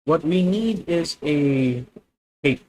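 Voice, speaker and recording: A young man with a Filipino accent streaming a card game, thinking out loud about his moves, correcting himself and talking to his viewers. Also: a little high, animated, slow, a little hiss or hum, poor audio. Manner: thinking aloud, self-correcting, addresses viewers. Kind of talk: live gameplay commentary.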